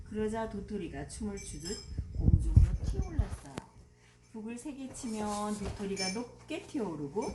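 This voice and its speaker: An older woman narrating a children's story as a grandmother storyteller, expressively. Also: medium pitch. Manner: expressive, acting out dialogue. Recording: echoey room with clear background noise.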